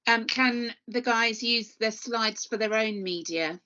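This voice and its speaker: A woman moderates a video call, polite and helpful as she relays questions. Her voice has a bright, forceful edge. The audio is dull and lo-fi, as if from a laptop mic. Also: on the high side, slow pace. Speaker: webinar moderator. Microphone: computer mic on a video call.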